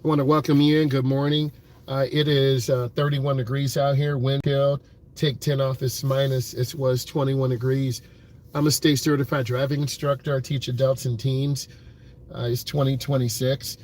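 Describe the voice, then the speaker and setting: A man's voice: medium pitch, flat delivery, normal speed, darkish tone. A man working as a driving instructor, talking to the camera from inside a car in an earnest, motivational way, with many fillers and repeated points.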